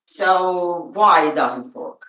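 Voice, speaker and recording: A woman with a Ukrainian accent, a native Russian speaker, giving an informal, halting and personal lecture as an artist. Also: on the low side, a bit bright. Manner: halting, personal, emotional at times. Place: lecture room. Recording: roomy, from far off.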